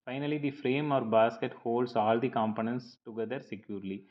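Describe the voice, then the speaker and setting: A man's voice: mid-range voice, fairly even intonation, brisk. Man lecturing in a dull, lo-fi recording. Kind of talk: scripted lecture narration over slides.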